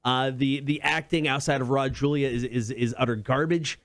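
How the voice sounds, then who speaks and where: A man's voice: mid-range voice, steady tone, normal speed, no echo. A man co-hosting a casual entertainment talk show, chatting informally. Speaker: show co-host.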